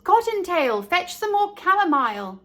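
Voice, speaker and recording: A woman's voice: very high voice, sing-song, normal speed, fairly bright tone, voice pushed up, heavily acted. A woman voicing an old widowed mother rabbit in a storybook, calling out commandingly from her burrow. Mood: calling, bossy.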